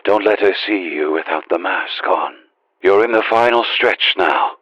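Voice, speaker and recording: An actor playing a grave, urgent guide in a video game, husky and heard through a radio filter. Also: male voice, low voice, unhurried, no room sound, muffled recording. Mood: grave, watchful. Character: grave, urgent male guide helping the player.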